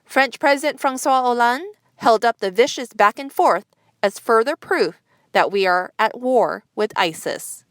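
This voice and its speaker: A woman's voice: on the high side, expressive, a little slow, a bit bright, no echo. A woman news presenter reading a scripted news bulletin.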